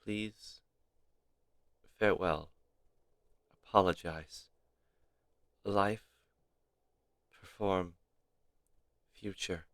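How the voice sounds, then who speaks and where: A man streaming a game, reading its text aloud dramatically with measured, theatrical pauses. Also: low-pitched, steady tone, a bit bright, no room sound.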